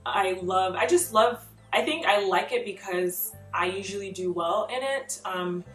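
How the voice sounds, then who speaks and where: A young woman vlogging, chatting through tag questions in an animated way, laughing often and filling her talk with "like.". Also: medium pitch, measured pace. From Nigeria. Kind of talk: casual chat answering tag questions. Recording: roomy echo, mic a bit far off, faint music behind.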